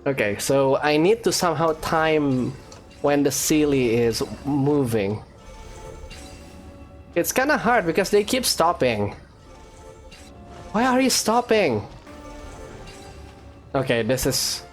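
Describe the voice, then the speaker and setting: A woman's voice: low voice, big pitch swings, slow, long pauses. A woman giving casual gameplay commentary, reacting aloud in a wry way. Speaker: game streamer, player. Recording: faint game music behind.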